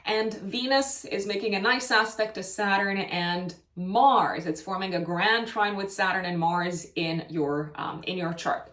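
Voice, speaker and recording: A woman's voice: medium pitch, steady tone, measured pace, few pauses, fairly bright tone. A woman with a Romanian accent giving a horoscope reading, thoughtful and hedging, with many fillers and restarts. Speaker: astrologer. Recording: echoey room, mic at a distance.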